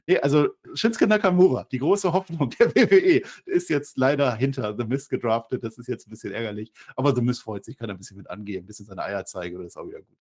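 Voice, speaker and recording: A man's voice: medium pitch, fast, a little mellow. A man co-hosting a wrestling review in casual, opinionated banter, with joking, ironic asides. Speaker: wrestling show review co-host.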